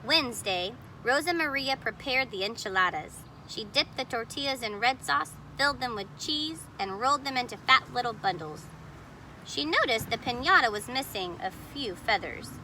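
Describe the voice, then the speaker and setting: A woman reads a children's picture book aloud in a fairly high, very animated voice. She is expressive and explanatory, pointing things out for young listeners. There is faint noise behind her. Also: moderate pace, low-quality recording. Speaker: storybook reader.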